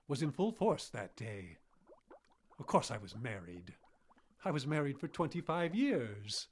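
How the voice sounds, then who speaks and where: A man playing an evasive, halting elderly scientist. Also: medium pitch, lightly acted. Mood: defensive, shaken. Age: older. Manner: halting, formal, defensive. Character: evasive, guilt-ridden elderly male scientist with failing memory. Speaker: actor in audio drama. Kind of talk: live radio drama acting. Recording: noisy live radio drama recording.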